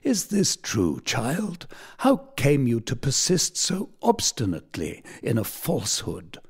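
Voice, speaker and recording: A man voicing a gentle, kindly older gentleman who asks questions slowly and softly. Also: medium pitch, huge pitch swings, slightly rough, exaggerated voice. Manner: gentle, slow.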